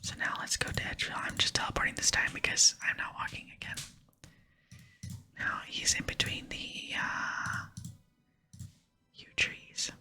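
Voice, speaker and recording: A person whispering softly while narrating a video game playthrough and reading its dialogue aloud in ASMR style. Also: normal speed, pauses often. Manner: whispers throughout. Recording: music behind the whisper. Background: soft music.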